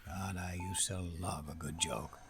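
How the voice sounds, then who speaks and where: An actor in an audio drama playing a nostalgic old grandfather, gently and wistfully telling his memories to his granddaughter. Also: low-pitched, steady tone, measured pace, music in the background.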